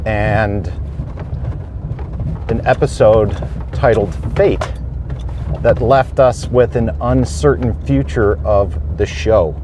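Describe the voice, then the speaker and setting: Slow-talking man hosting a podcast. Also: on the low side, noisy background. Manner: slow, thoughtful, with pauses and fillers. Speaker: podcast host. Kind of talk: casual solo podcast talk. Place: on a homestead farm.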